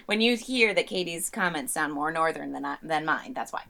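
A woman's voice: sits low, expressive, brisk, creaky, pushed and bright. A woman co-hosting a casual podcast from her home, supportive and playful. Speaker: podcast co-host.

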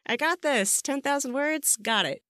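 A woman's voice: sits high, lively intonation, moderate pace, bright, forceful tone, no room sound, studio quality. A woman hosting a podcast interview in a casual, effusive and supportive way. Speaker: podcast host.

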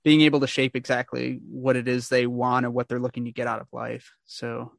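A man's voice: mid-range voice, completely flat delivery, brisk, creaky, no room sound. A young man hosting a casual interview, chatty and upbeat, rambling with restarts, over a video call. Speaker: podcast host.

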